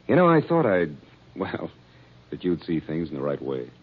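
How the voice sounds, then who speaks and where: An actor playing a smug, menacing blackmailing chauffeur in an old-time radio crime drama, insinuating and mock-polite. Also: male voice, low-pitched, wildly swooping pitch, moderate pace, husky, heavily acted. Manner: insinuating, mock-polite, calls his victim honey. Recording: muffled old radio broadcast recording.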